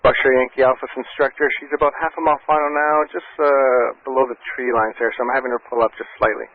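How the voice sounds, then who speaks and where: A man working as an air traffic controller, giving calm, reassuring step-by-step instructions over aviation radio. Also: mid-range voice, fast. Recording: muffled, band-limited aviation radio transmission.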